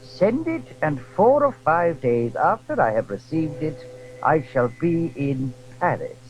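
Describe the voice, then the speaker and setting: A man playing a calculating, deferential Cardinal in a theatrical audio drama, speaking in a formal, deliberate way. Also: on the high side, big pitch swings, a little hiss or hum, low-quality recording. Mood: musing, calculating. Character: calculating, deferential Cardinal scheming at the royal court. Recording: 1980s audio drama recording.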